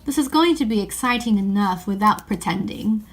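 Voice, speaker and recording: A woman's voice: medium pitch, animated, moderate pace, close to an everyday voice, low-quality recording. A woman voicing an earnest, fair-minded eldest-brother schoolboy in a children's story, with emphatic old-fashioned phrasing. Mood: decisive.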